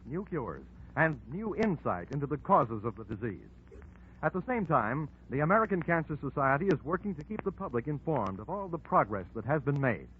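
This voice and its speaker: A male announcer with a fairly high, animated voice. He delivers scripted copy in 1940s radio style, on a tinny recording with faint noise.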